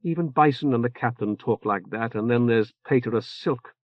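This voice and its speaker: A man's voice: moderate pace, no room sound, close to an everyday voice. A man voicing a blunt, weary female general who speaks tersely, in a dull, muffled recording. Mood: flat, blunt. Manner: terse, low and quiet. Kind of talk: audiobook character voice.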